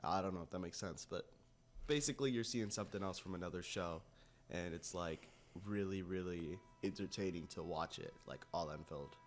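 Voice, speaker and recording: A low-pitched man co-hosts a relaxed conversational show. His delivery is informal and rambles along as he chats. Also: normal speed. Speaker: podcast co-host. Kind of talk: casual chat.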